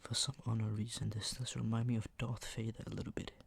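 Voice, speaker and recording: A man with a fairly low voice talks in a casual, rambling ASMR style. He speaks softly and often slips into a whisper. The recording has clear background noise, with clicks from handled objects. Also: little pitch movement, fast talker. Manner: soft-spoken, often dropping into whispers. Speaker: ASMR video host.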